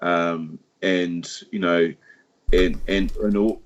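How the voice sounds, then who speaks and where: A man's voice: low-pitched, steady tone, a little hiss or hum. A man with a New Zealand accent, talking casually on a livestream about his party, with lots of restarts and fillers. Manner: halting, many restarts and fillers. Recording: dull lo-fi stream audio.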